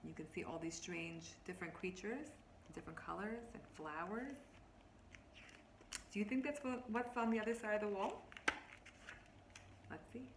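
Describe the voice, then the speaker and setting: A woman teacher reads a picture book aloud to children, expressively, pausing to explain words and ask them questions. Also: mid-range voice. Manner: expressive, instructive, addressing children directly. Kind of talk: read-aloud storytelling with explanations and questions to young listeners. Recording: roomy, echoing, faint background noise.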